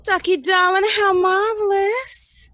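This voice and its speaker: Actress as gushing coquettish old flame, high voice, slow drawn-out cooing, radio comedy. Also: female voice, fairly even intonation, a bit bright, no echo, heavily acted. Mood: gushing, flirty.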